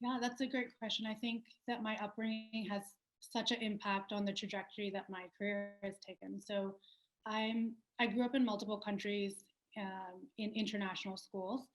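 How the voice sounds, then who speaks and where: A woman's voice: mid-range voice, little pitch movement, normal speed. A young adult woman from Pakistan, a company founder answering interview questions in a thoughtful way, with many fillers and restarts. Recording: roomy echo.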